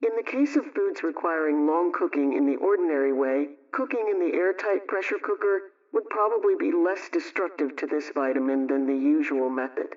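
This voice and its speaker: Guy reading 1920s radio household tips, measured and teacherly, sounds muffled and distant. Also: on the high side, animated, darkish tone. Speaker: host of a household advice programme. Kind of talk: scripted 1920s radio homemaking advice, question and answer.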